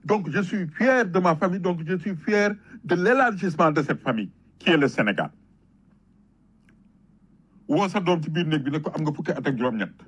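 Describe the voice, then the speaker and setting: Husky, emphatic Senegalese man. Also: a little high, lively intonation, brisk, fairly bright tone. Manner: emphatic, rhetorical, storytelling with repetition. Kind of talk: impassioned patriotic public talk, switching between French and Wolof.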